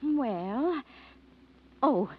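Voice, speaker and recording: A woman's voice: sits high, animated, extremely slow, breathy edge, exaggerated voice. An American actress playing a stingy, suspicious landlady wife who scolds in folksy speech, in old radio drama style. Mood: bossy scolding. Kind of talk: 1940s-50s American radio drama acting. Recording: dull, noisy vintage radio recording.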